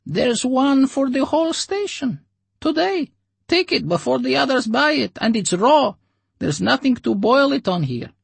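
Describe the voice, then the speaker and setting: A man voicing a stubborn, scruffy bearded little male egg seller, in a high, drawn-out, insistent voice. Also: big pitch swings, creaky, husky, dry recording, heavily acted. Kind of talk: audiobook character voice.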